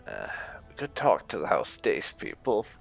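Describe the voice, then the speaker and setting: A man giving casual, musing roleplay commentary over a video game, with a gravelly voice. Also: deep voice, wildly swooping pitch, moderate pace, soft background music. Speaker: gaming video host.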